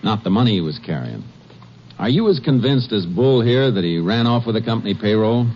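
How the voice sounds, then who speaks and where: An actor playing a calm, firm Texas Ranger questioning suspects in a 1950s radio drama. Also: male voice, fairly low pitch, brisk. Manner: steady, questioning. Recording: muffled old radio broadcast.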